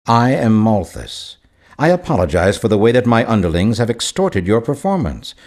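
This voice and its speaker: A man's voice: on the low side, very animated, moderate pace, darkish tone. An actor playing a grand, sinister mastermind in a video game, a man with a formal, theatrical, coldly courteous manner. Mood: mock sympathy. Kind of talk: video game character dialogue.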